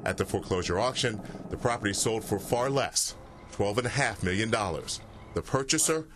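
A man narrating a TV news report in a steady, measured way. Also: lowish voice, noisy background.

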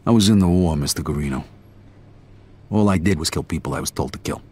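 An actor playing a cool, laconic young American gangster and war veteran, understated and dry in manner. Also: male voice, extremely low pitch, fast, a little mellow, husky. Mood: guarded, respectful.

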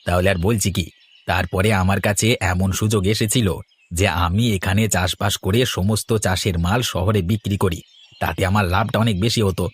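A man's voice: low-pitched, fairly even intonation, a little fast, husky. A man voicing a proud, earnest village farmer and husband in a cartoon, firm and persuasive.

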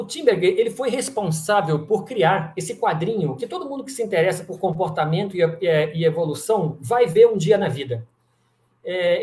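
A man's voice: sits high, a little mellow. A middle-aged man giving an online lecture over slides on a video call, explaining step by step with frequent fillers and restarts. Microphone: webcam on video call. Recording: echoey room.

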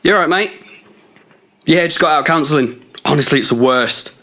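A man's voice: a little high, a little fast, sharp tone. A young man acting in a radio drama, playing a controlling, jealous boyfriend with an insistent way of talking. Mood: casual. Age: young adult. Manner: accusing, insistent. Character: controlling, jealous young boyfriend, self-justifying and quick-tempered. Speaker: student actor.

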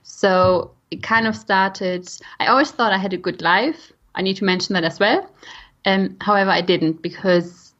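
A woman's voice: mid-range voice, steady tone, moderate pace, fairly bright tone. A young woman from Germany with a German accent, telling her own story conversationally as a podcast guest. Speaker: podcast guest, nutrition coach. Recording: dull, slightly lo-fi audio.

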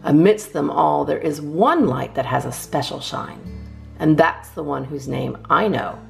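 A woman reading children's poems aloud as a storytime host, with expressive, measured phrasing. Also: sits low, vocal fry, faint music behind.

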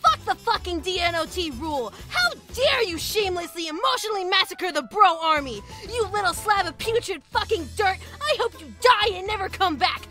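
Woman as a shrill, furious fangirl. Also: expressive, normal speed, hardly any pauses, pushed and bright, exaggerated voice. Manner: shrill, vicious, over-the-top. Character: furious high-pitched fangirl commenter ranting. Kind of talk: comedic dramatic comment reading. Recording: music behind the voice.

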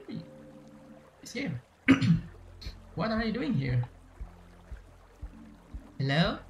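Brief: Indonesian-accented game streamer, gravelly, halting casual commentary, game music faintly behind. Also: mid-range voice, very animated, reverberant. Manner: frequent throat clearing, halting restarts. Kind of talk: casual let's-play commentary.

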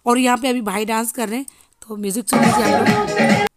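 A woman in her thirties with a hoarse voice, chatting casually to viewers in a home vlog, filmed on a handheld phone. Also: on the high side, very animated, fairly quick, over loud music. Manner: chatty, addressing viewers directly. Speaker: vlogger. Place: home kitchen and living room. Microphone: handheld phone selfie.

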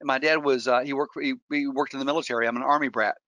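Earnest, bright-voiced man presenting. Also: mid-range voice, fairly even intonation, quick pace, no room sound. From Colombia. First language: Spanish. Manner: earnest storytelling with frequent restarts. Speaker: real estate investor and webinar guest speaker. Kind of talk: webinar presentation.

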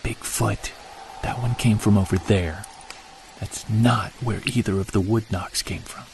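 An actor playing a tense, commanding Bigfoot hunter in an audio drama, terse and authoritative, speaking with hushed urgency. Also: male voice, lowish voice, steady tone, measured pace, slight background noise. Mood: hushed alert.

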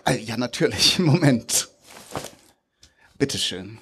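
A man's voice: medium pitch, expressive, slow, fairly bright tone, a little hiss or hum. A man playing a courteous, slightly hesitant Soviet guest in a live radio play. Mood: unsure. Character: polite, slightly unsure male Soviet party guest. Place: outdoor festival stage under a tent.

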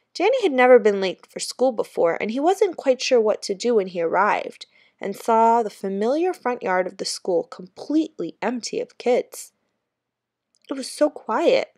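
A woman narrates a children's chapter book as a teacher would for a class. Her mid-pitched voice is measured and expressive, and the recording is dry and close. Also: dry recording. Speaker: teacher reading aloud.

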